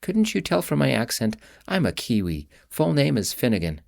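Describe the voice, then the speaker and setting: A man's voice: mid-range voice, big pitch swings, moderate pace, vocal fry, a little mellow. A man voicing an outgoing young college student who talks in a playful, upbeat way.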